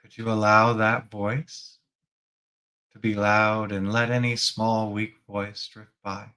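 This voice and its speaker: A man leading a guided spiritual meditation as a teacher, gently prompting the listener with reflective questions. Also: on the low side, flat delivery, slow, clear, resonant tone.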